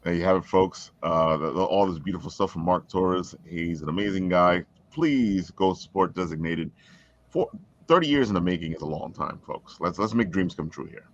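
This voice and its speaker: A man's voice: low voice, moderate pace, dry recording. A man hosting a live stream with upbeat, jokey banter in a casual style, on dull audio. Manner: upbeat, jokey, uses catchphrases.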